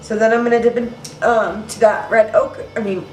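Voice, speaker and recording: A woman's voice: fairly high pitch, fairly even intonation, moderate pace, over loud music. Congested young woman teaching makeup. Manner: chatty, casual, says "girl" and "you guys". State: congested, nasal from allergies. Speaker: makeup tutorial host. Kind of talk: step-by-step makeup tutorial. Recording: roomy, mic at some distance.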